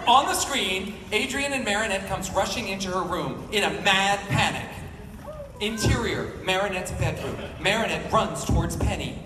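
A man's voice: sits high, lively intonation, normal speed, distant mic. Man narrating in a noisy, echoey hall. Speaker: panel host reading stage directions. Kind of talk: scripted narration. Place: large indoor panel room.

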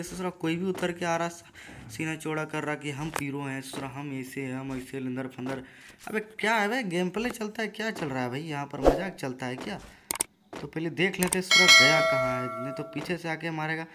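A hoarse young man gives rowdy, joking, trash-talking commentary over his own gameplay, recorded without a proper mic. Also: on the high side, noisy background. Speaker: gaming YouTuber. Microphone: built-in device mic, no external mic.

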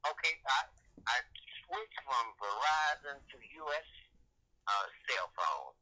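A man's voice: mid-range voice, big pitch swings, slow pace, pushed and bright. An older man on a phone call, irritated, blunt and insistent, heard over a dull telephone line. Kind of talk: phone conversation.

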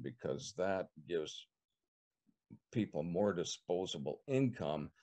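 An older man with a Canadian accent, answering interview questions on a video call from a living room, measured and repeating words as he thinks. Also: sits low, darkish tone. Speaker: political candidate. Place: living room with leather couch. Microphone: webcam on video call.

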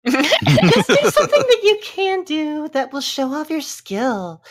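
A woman reading forum posts aloud for a comedy podcast in a halting, mock-earnest way. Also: sits high, very animated, over a noisy crowd. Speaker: podcast reader.

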